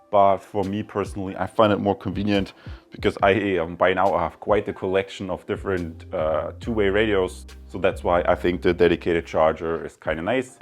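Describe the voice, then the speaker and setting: A man with a fairly low voice hosts a casual product review. He chats informally and speaks straight to his viewers as he explains things. There is music in the recording behind his voice. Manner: chatty, informal, addresses viewers directly. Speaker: product review video host.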